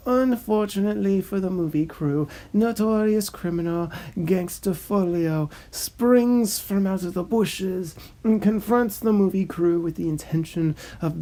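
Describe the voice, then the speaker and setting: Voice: mid-range voice, vocal fry, mellow. A narrator reading a script in a formal, pompous, long-winded and droning way. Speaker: narrator and host. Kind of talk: scripted narration of a cancelled film pitch.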